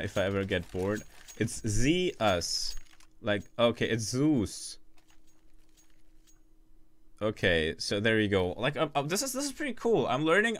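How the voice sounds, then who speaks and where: A man casting a competitive shooter match on his own, calling plays quickly, restarting phrases and joking with his viewers between rounds. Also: mid-range voice, a bit bright. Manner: rapid, chatty, self-interrupting, jokes with chat. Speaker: esports caster. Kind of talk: solo live game commentary.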